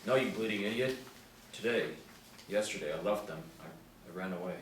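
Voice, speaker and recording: A man's voice: on the low side, normal speed, close to an everyday voice. A man at a script table read playing a guarded old grandfather and war veteran with a hidden past. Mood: defensive, agitated. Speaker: actor at a script table reading. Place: indoor room, seated reading panel. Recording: roomy, distant mic, background hiss.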